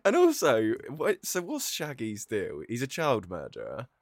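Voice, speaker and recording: A man's voice: medium pitch, wildly swooping pitch, a little slow, no room sound, clean studio sound. A man in a casual film chat, blokey, sweary and mock-incredulous. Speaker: podcast host.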